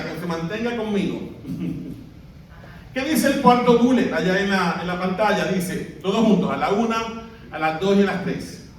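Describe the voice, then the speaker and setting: A high-voiced Puerto Rican man preaches to his congregation, asking them questions and having them read along aloud. His voice comes from some distance away, in a church hall full of strong echo. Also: a little mellow, slight background noise. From Puerto Rico. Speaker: pastor. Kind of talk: sermon.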